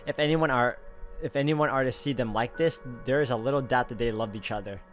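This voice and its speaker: A man reading a visual novel's text aloud with casual asides, steady and plain. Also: medium pitch, fairly quick. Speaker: gameplay narrator. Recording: voice over loud game music.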